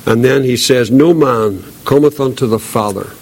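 A man's voice: medium pitch, moderate pace, gravelly, a little mellow, husky, poor audio. A man delivering an evangelical sermon as a preacher, earnest and solemn, repeating key phrases for emphasis.

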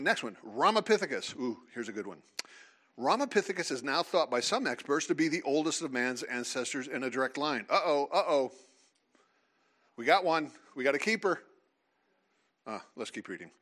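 Animated man leading a lively seminar. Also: medium pitch, moderate pace. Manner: animated, teasing, calls on audience. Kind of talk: lively creationist talk with audience. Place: hall with live audience.

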